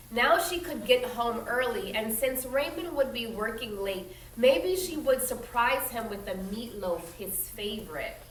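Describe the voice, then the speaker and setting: A woman with a slightly high voice reads a children's story aloud like a teacher. She is expressive and instructive, pausing to explain things simply. The sound is clearly echoey and a bit far from the mic, with faint noise behind her. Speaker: teacher reading a picture book aloud. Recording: roomy echo, distant mic, faint noise.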